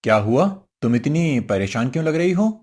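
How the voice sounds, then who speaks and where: A woman doing a husky, humble old father. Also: extremely low pitch, animated, normal speed, a little mellow, pitched below the performer's own voice. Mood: gentle. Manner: pleading, apologetic. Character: worried, humble older father of the bride.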